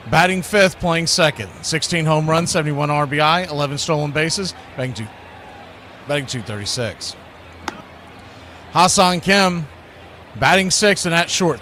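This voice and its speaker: A man's voice: on the high side, sharp tone, slight background noise. A man announcing sports and sponsor spots in a showy, folksy radio play-by-play style. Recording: music bed under the voice. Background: music.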